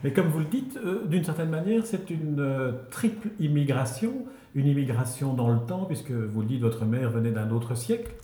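Mellow-voiced male interviewer. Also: medium pitch, lively intonation, moderate pace, reverberant. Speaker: radio interviewer. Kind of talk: radio interview.

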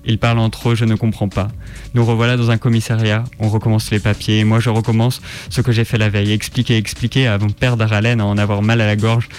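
Low, even-toned man reading over music. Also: fast talker. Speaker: reader on a radio show. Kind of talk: scripted first-person literary narration.

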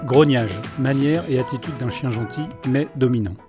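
A man's voice: mid-range voice, normal speed. A man hosting a student radio show, reading mock definitions and interviewing a guest with some hesitation. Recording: music bed under the voice.

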